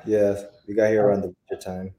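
Low, flat-voiced young man, roomy sound. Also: quick pace. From Tanzania. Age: young adult. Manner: modest and low-key. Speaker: interview guest, student athlete. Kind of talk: casual interview answers.

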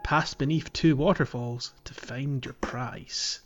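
A man's voice: medium pitch, steady tone, takes it slowly, faint music behind. A man hosting a podcast, talking casually and chattily about a game in informal language. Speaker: podcast host.

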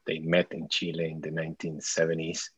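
A man's voice: rumbling low, completely flat delivery, brisk, fairly bright tone, slightly rough, no room sound, low-quality recording. A man in his forties from Mexico, a podcast guest talking casually, with many restarts and fillers. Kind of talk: casual interview chat.

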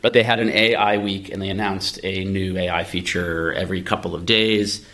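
A man's voice: low voice, steady tone, normal speed, fairly bright tone. A man co-hosting a podcast, explaining tech ideas in a conversational, analytical way and thinking aloud with examples. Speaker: podcast co-host, venture capitalist. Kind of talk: casual, explanatory tech discussion.

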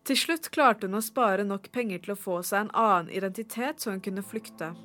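A woman narrating a documentary report in a measured, even reading voice. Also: mid-range voice, soft background music.

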